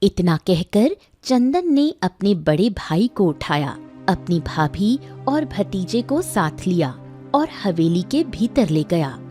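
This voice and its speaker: A woman's voice: mid-range voice, sing-song, slow pace, warm tone, breathy edge, no echo, soft background music. A woman narrating a scripted moral tale for a cartoon, as a storyteller.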